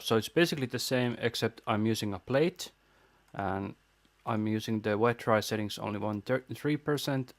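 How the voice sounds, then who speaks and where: Low-voiced man presenting a tutorial, dry sound. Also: no room sound. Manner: informal step-by-step explaining. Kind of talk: casual software walkthrough.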